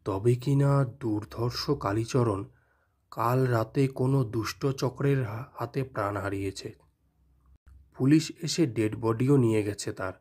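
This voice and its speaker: A man narrating a scripted horror story in a measured, dramatic, suspenseful manner. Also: mid-range voice, darkish tone.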